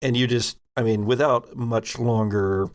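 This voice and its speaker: A man improvising narration as game master of a tabletop role-playing game, halting as he searches for words. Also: mid-range voice, fairly even intonation.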